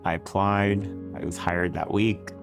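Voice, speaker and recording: A man from El Salvador telling his personal story in a measured, reflective way. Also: deep voice, level tone. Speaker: research interviewee. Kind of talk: personal testimony. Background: music.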